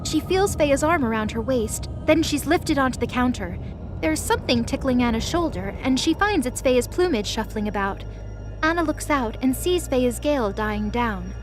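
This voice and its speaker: A woman's voice: high voice, lively intonation, moderate pace, soft background music, low-quality recording. A woman reading scripted, dramatic story narration as the narrator of an audio drama.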